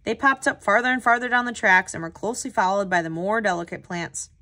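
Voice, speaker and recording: A woman with a mid-pitched voice reads a storybook aloud for children. She phrases clearly and tells it expressively, in the manner of a tutor hosting story time. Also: fast, sharp tone.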